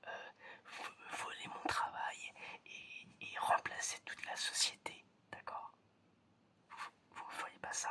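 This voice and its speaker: A whispering ASMR performer plays a quirky, mildly suspicious family doctor, talking softly and haltingly and often repeating phrases. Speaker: ASMR creator playing a general practitioner.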